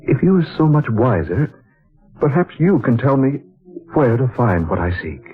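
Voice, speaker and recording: A man's voice: medium pitch, moderate pace, husky, soft background music. An actor playing an earnest, searching younger man who doubts and pleads, in a 1940s radio drama. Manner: earnest, pleading, expressive delivery. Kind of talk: 1940s radio acting and first-person narration. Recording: narrow tinny old radio broadcast.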